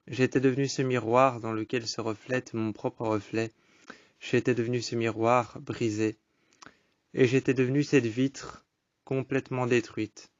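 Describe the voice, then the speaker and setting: A man's voice: medium pitch, no room sound, highs cut off. A man reciting dramatic spoken-word poetry in a solemn, melancholic, incantatory manner. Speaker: poetry storyteller.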